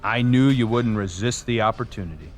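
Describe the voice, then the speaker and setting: An actor playing a competitive, self-assured wrestler with an assertive, earnest manner in a video game cutscene. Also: male voice, fairly low pitch, fairly quick, a little hiss or hum, close to an everyday voice. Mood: eager.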